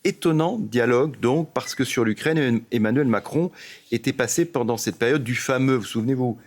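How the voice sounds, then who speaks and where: A man's voice: medium pitch, normal speed. French male commentator, emphatic news analysis. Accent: French from France. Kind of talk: explanatory political news analysis.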